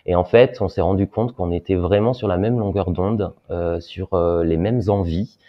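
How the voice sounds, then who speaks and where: A man in his thirties, a guest telling his story in an interview, pausing often with fillers. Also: low voice, fairly even intonation. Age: 30s. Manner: hesitant, many filler pauses. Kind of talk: personal interview talk. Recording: dull lo-fi sound.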